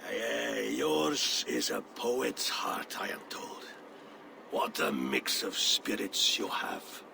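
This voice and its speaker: A gravelly old Norse jarl. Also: male voice, lowish voice, expressive, slow, heavily acted. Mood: warm. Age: older. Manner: rousing, fatherly, proud. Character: old frail Norse jarl, proud warrior chieftain. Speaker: aging jarl of a Norse clan. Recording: game audio with ambient noise.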